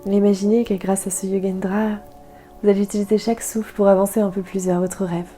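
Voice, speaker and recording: A woman guiding a yoga nidra meditation in a soft, calm, soothing way, leaving gentle pauses. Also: mid-range voice, faint music behind. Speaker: guided meditation instructor.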